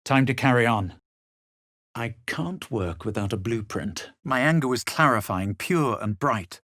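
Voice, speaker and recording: An actor playing a haughty, self-assured male adventurer in a fantasy video game, speaking measured, formal scripted lines with a superior manner. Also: mid-range voice, animated, a bit bright, no echo, studio quality. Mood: grave, measured. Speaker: video game player character.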